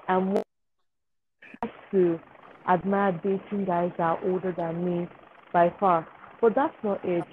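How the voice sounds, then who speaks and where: Halting young woman on a tinny line. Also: medium pitch, slight background noise. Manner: halting, searching for words. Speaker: podcast guest. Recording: tinny phone-like sound.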